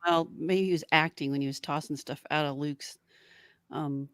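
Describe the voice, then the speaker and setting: Lady podcast cohost, lowish voice, super dry sound, casual chat that kinda trails off as she thinks. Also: fairly even intonation, normal speed, no room sound. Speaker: podcast co-host. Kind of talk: casual fan chat on a live stream.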